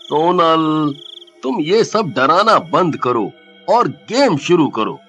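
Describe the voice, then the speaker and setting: A man playing a cocky, mocking young man in a Hindi audio story, with drawn-out, exaggerated, taunting delivery. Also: fairly high pitch, very animated, pushed and bright, lo-fi sound, faint music behind, exaggerated voice.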